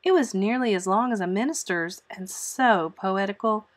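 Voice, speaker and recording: A woman's voice: medium pitch, moderate pace, close to an everyday voice. A woman voicing an earnest, imaginative orphan girl in an audiobook, with gushing, dramatic, wordy delivery. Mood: apologetic, earnest. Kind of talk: audiobook character voice.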